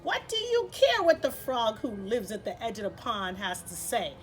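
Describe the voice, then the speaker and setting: A woman's voice: fairly high pitch, animated, normal speed, soft background music, lightly acted. A woman voicing a self-assured, unbothered lady ladybug in a storybook read-aloud.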